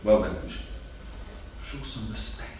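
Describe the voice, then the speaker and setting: A man's voice: fairly low pitch, slow, darkish tone. A man playing a harried, penny-minded husband in a staged reading, dry and worrying in manner. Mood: irritated. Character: Samuel, harried penny-minded middle-aged husband and father. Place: theatre stage, live reading. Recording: distant, very echoey theatre with noise.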